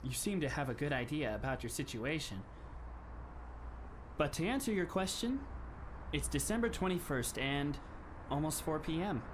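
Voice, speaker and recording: A man's voice: a little high, animated, normal speed, background noise, natural voice. A man playing a polite, composed teenage schoolmate in an anime fan dub, with formal, courteous phrasing. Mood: calm, amused.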